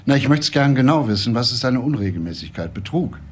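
An actor playing a calm, persistent homicide detective who asks short, matter-of-fact questions in a crime drama. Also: male voice, medium pitch, a little fast, a little hiss or hum, lightly acted. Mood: businesslike.

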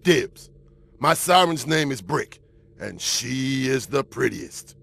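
A man voicing a big, brutish but childlike bruiser in a video game, speaking in blunt, simple phrases with a gravelly voice. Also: medium pitch, big pitch swings, takes it slowly, fairly bright tone, heavily acted. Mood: eager, blunt.

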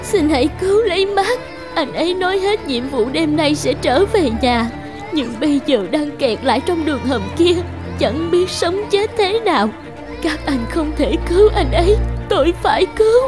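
A distraught young wife in a cartoon drama, pleads tearfully in a high voice. Music plays clearly behind her. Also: expressive, moderate pace. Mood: desperate pleading.

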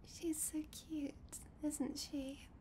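A woman playing a sweet, shy young vampire girlfriend in an intimate ASMR roleplay, whispering softly and hesitantly. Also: high-pitched, noisy background. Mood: affectionate, shy. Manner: soft, breathy whispering, hesitant and giggly. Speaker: roleplay voice performer.

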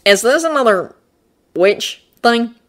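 Storybook Texan airman, performed by a woman: folksy, courteous, quick to argue, lifted animated delivery. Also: fairly high pitch, pitched above the performer's own voice. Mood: urgent, frank. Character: plain-spoken, polite, argumentative Texan man, an aeronaut.

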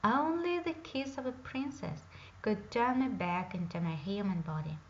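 A woman voicing a calm, earnest young prince who speaks formally, in a dull lo-fi recording. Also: mid-range voice, normal speed, close to an everyday voice.

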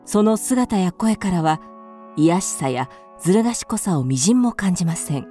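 Mellow, lowish female narrator. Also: lively intonation, normal speed, soft background music. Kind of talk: scripted radio drama narration.

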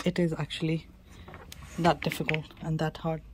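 Female travel vlogger, low even voice, plain and matter-of-fact room tour, some ambient noise behind her. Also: normal speed. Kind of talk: casual cabin tour narration. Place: mostly a small cruise ship cabin. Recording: clear background ambient noise.

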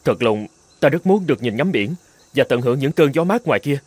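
A man's voice: mid-range voice, animated, brisk, darkish tone, husky, dry recording. A man voicing a brawny, honorable but anxious island chieftain in a cartoon fairy tale, speaking in an earnest, pleading manner. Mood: subdued, pleading. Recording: prominent music behind the voice.

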